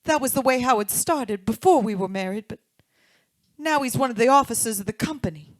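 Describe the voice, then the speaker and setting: A woman's voice: fairly high pitch, expressive, brisk, dry recording, natural voice. A woman playing an anxious, well-off young married woman in an old-time radio drama, earnest and genteel. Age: young adult.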